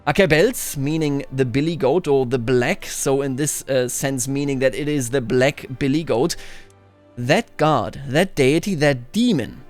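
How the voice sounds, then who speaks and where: A man's voice: medium pitch, measured pace, faint music behind. A man hosting a game playthrough, telling a roleplay story in a musing, discursive, explanatory way. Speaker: host of a video game playthrough series.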